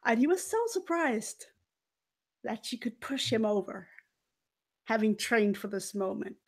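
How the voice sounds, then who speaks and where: A woman telling a story in character as a haughty, scheming former cabaret dancer, theatrical and deliberate with dramatic pauses and swells. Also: fairly high pitch, animated. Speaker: player in a murder mystery role-playing game.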